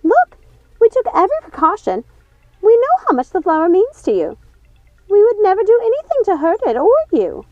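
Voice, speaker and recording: Shrill, frantic witch sister. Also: wildly swooping pitch, normal speed, no room sound, full character voice. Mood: pleading. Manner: shrill, repetitive pleading. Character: frantic, pleading wicked witch sister, fretful and wheedling. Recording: dull lo-fi sound, music underneath.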